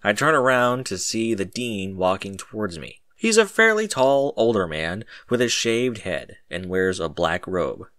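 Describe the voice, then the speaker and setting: Male fiction narrator, low pitch, forceful and very lively intonation, dry close recording. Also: a little slow, few pauses, no echo. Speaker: narrator of a fan fiction audiobook. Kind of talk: animated scripted narration.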